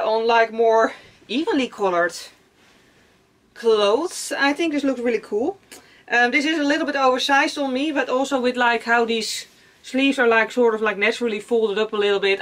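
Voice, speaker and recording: Bright-voiced young woman chatting casually. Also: a little high. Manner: chatty and rambling, many fillers and repeated words. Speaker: vlogger. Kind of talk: casual haul vlog chat. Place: cluttered attic room at home.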